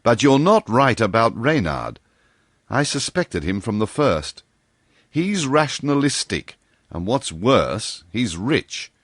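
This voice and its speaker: A man's voice: medium pitch, very animated, slow pace, fairly bright tone, no echo, studio quality, heavily acted. A man doing an earnest young poet in a storybook.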